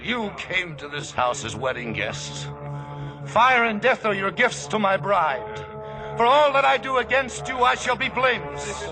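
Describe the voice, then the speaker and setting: A man's voice: high-pitched, sing-song, measured pace, full character voice, low-quality recording. An actor in a 1950s radio drama playing a proud, vengeful biblical strongman with a commanding, declamatory delivery. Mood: grim vengeance. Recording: old radio broadcast with orchestral music.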